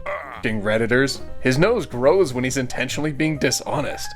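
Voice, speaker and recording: A man's voice: mid-range voice, animated, normal speed, faint music behind. A man hosting a show, reading posts aloud and chatting casually in a jokey, easily amused manner. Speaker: host.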